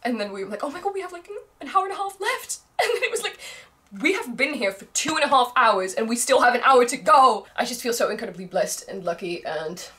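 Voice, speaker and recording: A woman's voice: on the high side, big pitch swings, quick pace, fairly bright tone. A young woman whose first language is Dutch, gushing in a casual vlog chat, laughing often, her voice strained from screaming. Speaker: vlogger.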